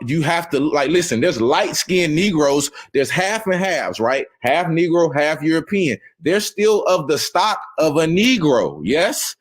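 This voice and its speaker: A man with a slightly raised pitch hosts a casual group debate, laying out his points like a lecture. He keeps pressing his listeners with quick "right?" and "okay?" checks as he drives each point home.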